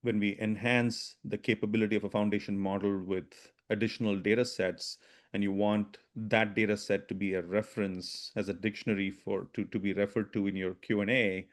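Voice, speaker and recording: Man, low voice, webinar presenter, restarts, right-tags. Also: little pitch movement. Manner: fast-talking with frequent restarts and "right?" tags. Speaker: webinar presenter, product strategy VP.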